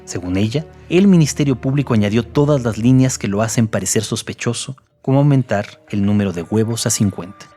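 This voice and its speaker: Somewhat low male explanatory narrator. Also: a little fast, few pauses. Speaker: presenter and investigator. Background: soft music.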